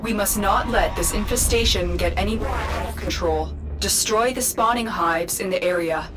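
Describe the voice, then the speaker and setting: A woman voicing a solemn, calm female mission guide in a video game, speaking in a measured, earnest way. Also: mid-range voice, noisy background, natural voice. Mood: urgent. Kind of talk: scripted game mission dialogue. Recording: loud music under voice. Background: loud game music.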